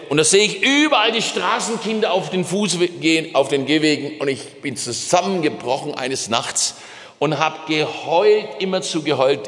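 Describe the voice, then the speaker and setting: A middle-aged man telling his life story as a missionary preacher, emphatic and animated, with his voice rising and dropping as he recounts it. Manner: emphatic storytelling with swings in pitch and pace. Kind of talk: sermon testimony. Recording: long reverb of a large hall.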